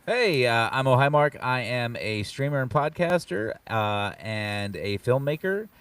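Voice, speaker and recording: A man's voice: fairly low pitch, smooth, distant mic. A man introducing himself casually as a podcaster on a panel, recorded a little way from the microphone.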